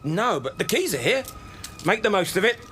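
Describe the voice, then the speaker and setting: A man's voice: high voice, very animated, moderate pace, a bit bright, slight background noise. A male actor playing a breezy, chatty English hospital worker in a radio drama. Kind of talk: casual radio drama dialogue.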